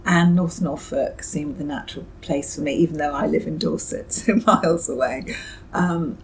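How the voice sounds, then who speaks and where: Low, creaky-voiced British woman. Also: darkish tone, a little hiss or hum, poor audio. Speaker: interviewed novelist. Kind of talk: reflective interview answers.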